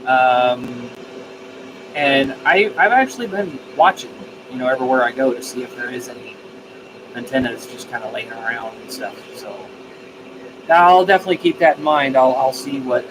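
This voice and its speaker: A man's voice: mid-range voice, normal speed, background noise. A man chatting casually on a live stream, answering viewer comments and repeating phrases as he thinks aloud. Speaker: live streamer, radio hobbyist.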